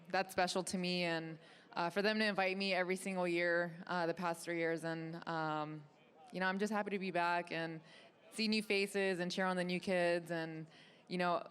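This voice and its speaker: A woman, a sponsored runner, giving earnest, humble and somewhat halting interview answers. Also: fairly low pitch, fairly even intonation, a bit bright, smooth.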